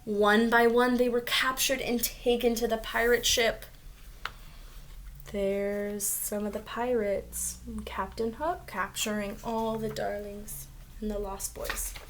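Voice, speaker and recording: A woman's voice: fairly high pitch, flat delivery, slow, slight background noise. A woman reading a storybook aloud to young children, expressively, with little asides about the pictures. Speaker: storybook reader.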